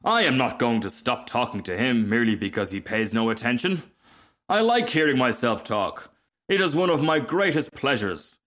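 A man's voice: medium pitch, lively intonation, normal speed, a bit bright, natural voice. A man as a pompous, swooping firework rocket. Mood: smug, lecturing. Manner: haughty, formal, self-important. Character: pompous, vain, self-absorbed firework rocket, easily offended. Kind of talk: storybook character reading.

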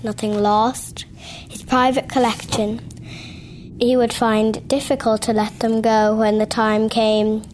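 A woman reading passages from a novel aloud in a measured storytelling manner. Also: mid-range voice, a little mellow, slight background noise. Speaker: reader.

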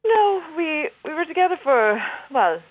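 A woman's voice: high voice, sing-song, unhurried. A middle-aged woman from Denmark who grew up in Sweden, calling in to a radio show and teasing the host playfully. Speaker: caller. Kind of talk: phone-in chat. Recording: tinny phone line.

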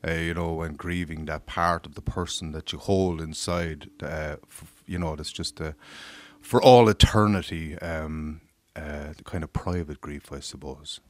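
A man with an Irish accent, a singer being interviewed, talking in a halting way with many fillers and repeated words. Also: very deep voice, steady tone. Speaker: singer guest. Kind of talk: casual radio interview.

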